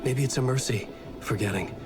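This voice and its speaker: A man's voice: medium pitch, brisk, music in the background, close to an everyday voice. A man playing a weary, haunted writer in a video game, grave and introspective as he blames himself and muses darkly. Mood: bleak, intense. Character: weary haunted male writer, brooding and self-blaming. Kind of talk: cinematic game dialogue and brooding inner monologue.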